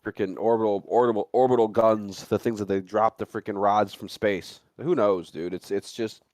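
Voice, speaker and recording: A man's voice: lowish voice, steady tone, normal speed, darkish tone, dry recording. A man hosting a livestream, chatting casually in a rambling, slangy way with frequent swearing. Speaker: livestream host. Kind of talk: casual chat.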